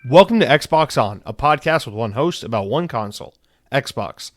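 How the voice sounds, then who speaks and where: A man's voice: mid-range voice, lively intonation, moderate pace, heavy background noise. A man in his thirties hosting a casual solo podcast, rambling and swearing loosely, tired and coming down with a head cold. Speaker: podcast host.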